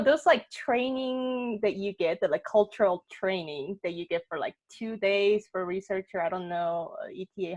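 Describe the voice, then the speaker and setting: A woman's voice: medium pitch, dull audio. Woman, moderator, casual, filler-heavy, video call. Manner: chatty, many fillers, laughs often. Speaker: workshop moderator. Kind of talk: casual discussion hosting.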